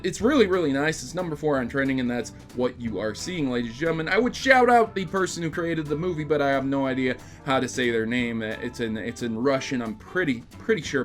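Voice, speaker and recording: A young man hosting video game news, delivering opinionated commentary in an emphatic, sarcastic, ranting manner. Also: medium pitch.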